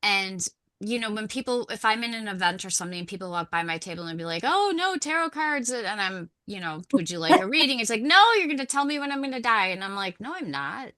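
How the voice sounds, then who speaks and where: A woman's voice: mid-range voice, expressive, a little fast, few pauses, pushed and bright, no echo. A woman co-host and tarot reader chatting casually on a podcast, telling stories and acting out the people in them. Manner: chatty storyteller, acts out quoted people.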